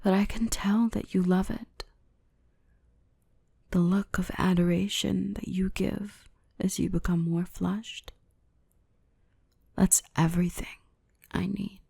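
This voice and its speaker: A woman playing a gentle, protective dark elf in an ASMR roleplay, speaking slowly and tenderly in a soothing soft voice that slips into breathy whispers. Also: mid-range voice, steady tone, heavily acted. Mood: affectionate, intimate.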